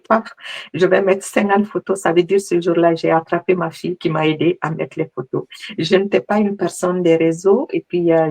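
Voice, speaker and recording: A middle-aged woman from Mali, a guest coach, answering interview questions in a lively, conversational way. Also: fairly low pitch, creaky.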